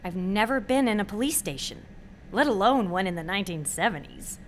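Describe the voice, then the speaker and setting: A woman's voice: medium pitch, expressive, a little fast, a little hiss or hum, natural voice. An actress playing an intense, wry, guarded young woman in her twenties, in natural audio drama dialogue. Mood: earnest, guarded.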